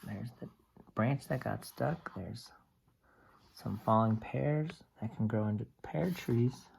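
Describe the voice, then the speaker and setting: A teacher reading a picture book aloud to young children, explaining things simply with expressive asides. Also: mid-range voice, takes it slowly, creaky, a little hiss or hum.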